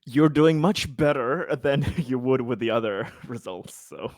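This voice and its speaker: Lively, teasing man at a game table. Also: medium pitch, unhurried, no echo, clean studio sound. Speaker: player in a tabletop roleplaying game. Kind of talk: casual banter.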